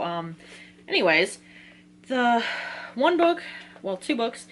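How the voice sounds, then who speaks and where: An American woman from Virginia talking casually in an excitable, rambling, slangy way, like a book vlogger. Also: lowish voice, a little hiss or hum. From Virginia, United States. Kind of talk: casual chatty video talk.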